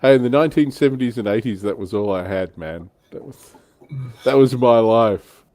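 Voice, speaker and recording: A man's voice: medium pitch, normal speed, darkish tone, no echo. A middle-aged man hosting a casual hobby livestream, chatting informally with a joking manner and mild swearing. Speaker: livestream host.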